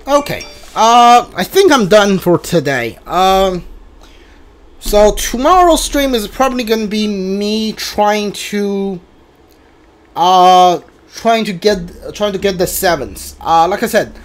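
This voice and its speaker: A man chatting casually on a live game stream, halting and often repeating himself as he talks. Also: sits high, lively intonation.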